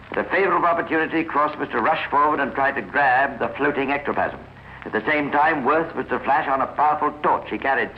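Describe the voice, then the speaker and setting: A man giving measured, wry scripted narration for a 1950s radio crime drama, muffled and hissy. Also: mid-range voice.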